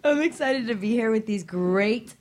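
A woman's voice: on the high side, animated, normal speed, very noisy. A woman co-hosting a radio talk show in a studio, chatting cheerfully and laughing easily.

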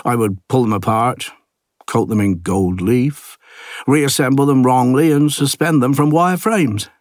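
A man narrating an audiobook as an ageing village artist, a plain-spoken, gruff and reflective loner, with a husky low voice and a wry, understated delivery. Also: measured pace, no echo, studio quality, close to an everyday voice. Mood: quiet, reflective. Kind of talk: first-person literary audiobook narration.

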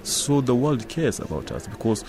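A man's voice: mid-range voice, sing-song, normal speed, creaky, a little mellow, slight background noise. A man, a radio editor-in-chief, speaking in an interview soundbite, searching for words and repeating phrases.

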